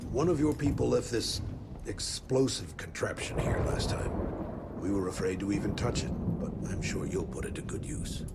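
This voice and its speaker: Western game padre: lowish voice, folksy and fatherly, gently wry, over noisy ambience. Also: animated, normal speed. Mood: grave, helpful. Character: kindly, folksy Old West male preacher, fatherly and wry. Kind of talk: cinematic western game dialogue. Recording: clear background noise and ambience.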